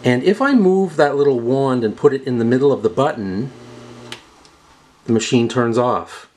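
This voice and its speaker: A man's voice: mid-range voice, expressive, moderate pace, darkish tone. A man giving a casual, methodical demonstration of equipment as a review host, explaining step by step. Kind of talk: casual product demonstration narration. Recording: clear background noise.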